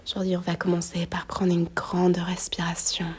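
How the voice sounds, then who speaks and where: A woman guiding a slow meditation in a soft, breathy near-whisper, gently giving unhurried instructions with long pauses. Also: lowish voice, completely flat delivery, a little hiss or hum. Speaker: yoga nidra guide.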